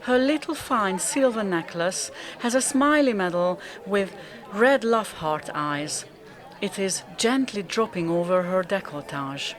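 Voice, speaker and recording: A woman narrates a radio drama as a descriptive storyteller. She takes her time at a slow, measured pace, with clear crowd bustle behind her. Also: medium pitch.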